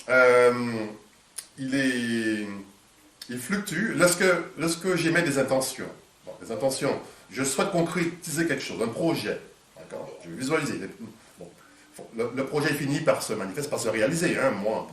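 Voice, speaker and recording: A man's voice: mid-range voice, expressive, a bit bright. Hesitant man with a head cold. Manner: halting, many fillers and restarts. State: congested from a cold. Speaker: interviewer. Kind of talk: hesitant conversational interview. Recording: echoey room, distant mic.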